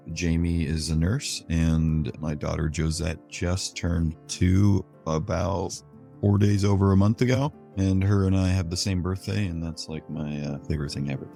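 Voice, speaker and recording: Deep-voiced man, low and flat. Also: creaky. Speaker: pediatrician panelist. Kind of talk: casual professional talk.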